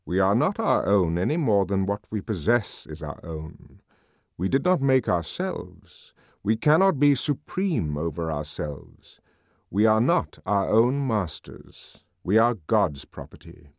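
Man playing an urbane, animated ruling intellectual. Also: fairly low pitch, a little slow, gravelly, no echo, clean studio sound, heavily acted. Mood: solemn reading. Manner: genial, lecturing, drily teasing. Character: urbane, wry, authoritative male world controller, amused intellectual.

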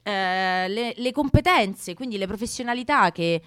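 A woman's voice: mid-range voice, lively intonation, unhurried, dry recording. Casting: female radio co-host, mid-range voice, conversational delivery, very dry sound. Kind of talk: conversational radio presenting with a guest introduction.

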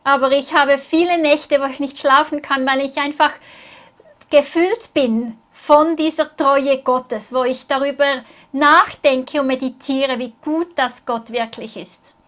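A woman's voice: sits high, fairly even intonation, a little slow, a bit bright. A woman interpreting a sermon phrase by phrase, speaking earnestly and closely following the preacher.

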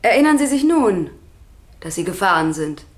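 A woman plays a court official in a radio crime drama. She speaks at a middle pitch, formally and sternly. Her manner is probing and admonishing. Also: very animated, normal speed, natural voice.

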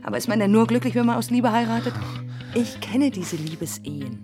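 Actress as a proud, practical young bride-to-be. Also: female voice, fairly high pitch, expressive, fairly quick, over music, lightly acted. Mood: indignant, defensive. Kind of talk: German radio drama acting.

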